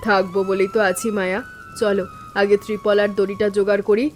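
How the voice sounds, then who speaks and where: A woman playing a calm, steadfast elder sister-in-law in an animated village story, speaking in a composed, firm and reassuring way. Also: mid-range voice, a little fast, faint music behind, close to an everyday voice. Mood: reassuring, resolute.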